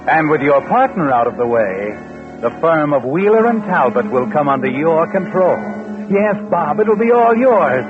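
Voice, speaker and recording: An actor playing a sinister omniscient narrator, ominous and knowing, in 1940s radio mystery style. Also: male voice, on the high side. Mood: urgent, sly. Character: sinister omniscient male narrator taunting the killer. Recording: muffled vintage radio recording. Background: dramatic music.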